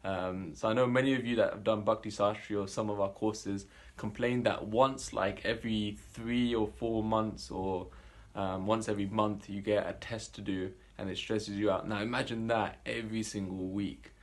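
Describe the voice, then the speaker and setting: Low-voiced man, casual halting host. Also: steady tone. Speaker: host introducing a storyteller. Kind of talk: casual spoken introduction to camera.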